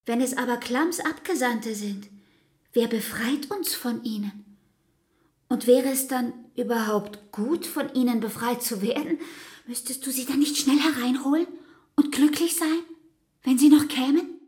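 An actress playing a brooding, anguished woman, devoted and fatalistic, speaking deliberately in a literary radio play. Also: high voice, darkish tone. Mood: uneasy. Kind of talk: literary radio drama acting.

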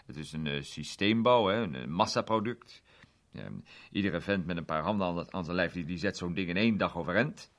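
A man's voice: deep voice, fairly quick, fairly bright tone. A man voicing a chatty, plain-spoken male garage owner who rambles with fillers. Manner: rambling, homely, full of fillers.

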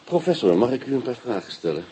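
An actor playing a methodical, dryly superior police inspector in a radio play, with a probing, instructive manner. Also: male voice, medium pitch, very animated, quick pace, mellow, a little hiss or hum, natural voice. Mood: brisk, dry. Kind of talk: Dutch radio play acting.

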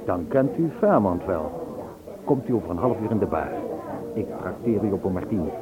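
A man's voice: deep voice, lively intonation, moderate pace, a crowd in the background. An actor playing a charming, impulsive man who courteously tells of his romance in a Dutch radio play. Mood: gallant. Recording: tinny, band-limited old radio recording.

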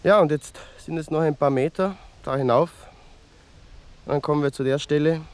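A man playing a plain-spoken local eyewitness who guides a reporter, halting and searching for words. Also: a little high, darkish tone, natural voice. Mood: halting, earnest. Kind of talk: acted on-location radio interview.

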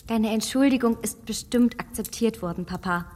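A woman acting in a radio play as a self-assured, witty young gentlewoman, composed and dryly critical. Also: a little high, normal speed, close to an everyday voice. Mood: reassuring, teasing.

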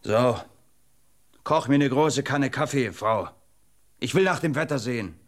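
A male actor plays a proud, headstrong fisherman and husband in a German radio drama. His voice is of medium pitch, with a gruff and brusque delivery. He sounds impatient and dismissive, used to having the last word. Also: expressive, unhurried, natural voice. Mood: proud, defiant. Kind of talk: German radio play acting.

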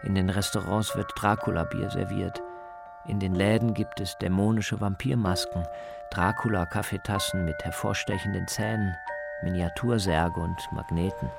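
Low gravelly man narrating a travel feature. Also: fairly even intonation, moderate pace, over loud music. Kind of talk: scripted radio feature narration.

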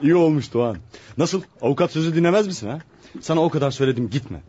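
A man's voice: a little high, lively intonation, rapid-fire, warm tone, slight background noise, lightly acted. An actor playing a worldly lawyer friend in a radio play, mocking and familiar in his exclamations. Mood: amused, mocking. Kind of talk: Turkish radio theater acting.

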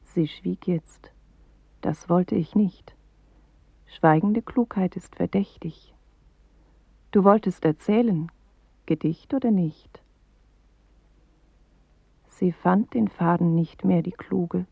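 An actress playing a watchful inner narrator in a poetic radio play, confiding dry commentary in a husky, creaky, often half-whispered voice. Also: female voice, lowish voice, slow pace, long pauses, no room sound, exaggerated voice. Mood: wry, confiding. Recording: thin, phone-like band, very dry.

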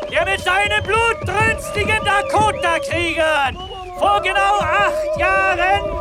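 A bombastic Wild West arena barker shouts in an extremely high, strained voice. He draws his words out theatrically for a radio play, with loud music and a roaring crowd around him. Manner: declamatory, sensational.

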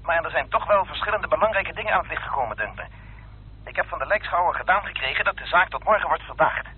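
Husky, coaxing husband, tinny sound. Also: male voice, fairly high pitch, lively intonation. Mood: eager, coaxing. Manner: brisk, cajoling, teasing. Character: eager, coaxing husband and politician, amateur sleuth. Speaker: actor in a radio play. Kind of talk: Dutch radio drama acting. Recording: tinny, band-limited old radio recording.

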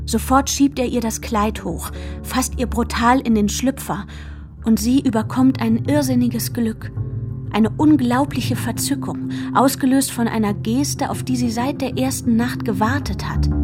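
Detached female storyteller played by an actress, fairly high voice, measured literary radio drama narration, faint music underneath. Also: close to an everyday voice.

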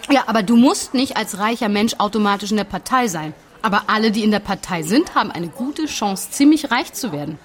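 An actress playing an indignant, stubborn German expatriate in a conversational radio drama, talking bluntly and insistently. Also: female voice, mid-range voice, expressive, fairly quick, hardly any pauses, lightly acted. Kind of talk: conversational documentary drama, arguing.

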